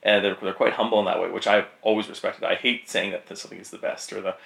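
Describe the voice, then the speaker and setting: A man's voice: lowish voice, fairly even intonation, quick pace, creaky, a bit bright, echoey room. A Canadian man hosting a podcast, chatting casually in a laid-back, rambling way and laughing often. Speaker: podcast host. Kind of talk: casual chat.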